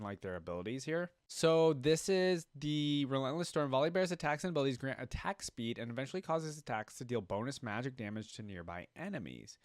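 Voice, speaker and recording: A man's voice: medium pitch, no echo, clean studio sound. A young man hosting a reaction video, giving informal commentary and musing aloud as he reads and reacts.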